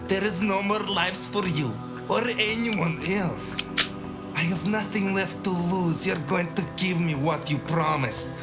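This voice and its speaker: A man performs a desperate gunman for an audio drama. His voice sits a little high and moves very slowly, each word a deliberate threat. Music is prominent behind him. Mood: menacing. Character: desperate menacing gunman, a man with nothing to lose.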